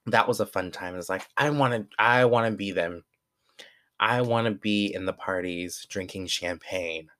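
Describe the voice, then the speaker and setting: Man, twenties, very deep voice, dry close sound, relaxed candid delivery. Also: smooth, dry recording. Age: 20s. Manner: relaxed and candid, with frequent fillers and self-corrections. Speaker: vlogger. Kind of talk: reading his own essay aloud, then casual reflection.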